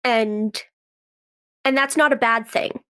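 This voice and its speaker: A woman with a fairly high voice talks as a guest in a conversational interview. She explains things earnestly, as someone speaking from her own working experience as a performer. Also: takes it slowly, a bit bright, smooth. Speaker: podcast guest, actress and writer.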